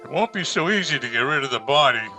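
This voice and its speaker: An actor playing a tall, wary killer in an old radio suspense play, talking in curt, suspicious phrases. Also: male voice, a little high, expressive, fast, bright, forceful tone, faint music behind, low-quality recording. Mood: wary, plotting. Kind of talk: 1940s radio suspense acting.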